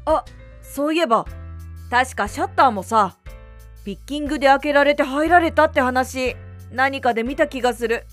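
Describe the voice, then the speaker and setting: A woman's voice: high voice, normal speed, fairly bright tone. A woman playing a practical, assertive mother in an amateur family radio drama, talking in a casual, matter-of-fact way. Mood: casual concern. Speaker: actor in a family audio drama. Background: background music.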